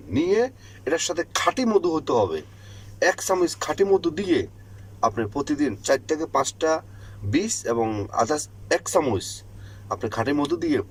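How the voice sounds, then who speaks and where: Animated Bangladeshi man explaining things. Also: mid-range voice, husky. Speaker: health advice channel host. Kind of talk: informal instructional monologue to listeners.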